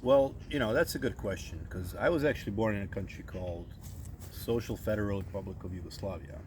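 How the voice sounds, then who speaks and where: Deep-voiced man in his thirties, from Croatia. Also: fairly quick, slight background noise. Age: 30s. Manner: relaxed, says "you know" and "right?" a lot. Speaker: interviewee. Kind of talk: casual interview answers.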